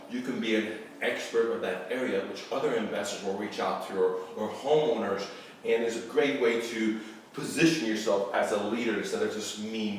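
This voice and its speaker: Iranian-born investor, middle pitch, loose off-the-cuff teaching, distant mic, heavy room echo, faint background hiss. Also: male voice. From Iran. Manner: loose and rambling, often checking in with "okay?", casual swearing. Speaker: real estate investor and channel host. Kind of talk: casual instructional talk to camera. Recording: very echoey room, mic far off.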